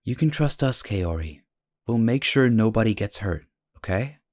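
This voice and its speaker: A man voicing an earnest, caring, easily exasperated young protagonist in an anime-style audio drama, slightly husky. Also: fairly low pitch, expressive, moderate pace, a little mellow, dry recording, studio quality, lightly acted. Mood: gentle, supportive.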